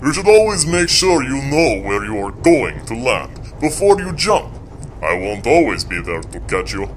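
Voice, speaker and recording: Man playing a gentle giant, swinging pitch. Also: sing-song, moderate pace, slight background noise, lightly acted. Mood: gentle, plain. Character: huge, gentle, literal-minded strongman crewman. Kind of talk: audio drama acting.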